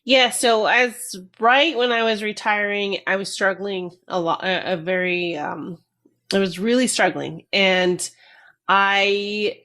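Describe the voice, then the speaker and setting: A woman's voice: medium pitch, steady tone, slow pace, pushed and bright. A middle-aged woman, a retired army veteran from New Jersey, speaking candidly and reflectively as an interview guest, with some hesitations. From New Jersey, USA. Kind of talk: casual interview.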